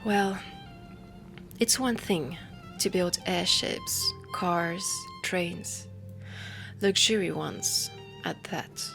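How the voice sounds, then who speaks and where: A woman playing a refined, ambitious heiress inventor in a steampunk audio roleplay, speaking softly and deliberately with a French accent, often close to a whisper. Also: fairly low pitch, gravelly, exaggerated voice. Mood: admiring. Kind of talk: soft intimate audio roleplay. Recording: music under the voice. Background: background music.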